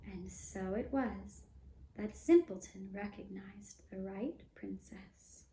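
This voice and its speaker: A woman narrating a scripted fairy tale for a puppet show, measured, with long breaks between phrases. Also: medium pitch, dark tone, echoey room, slight background noise, poor audio.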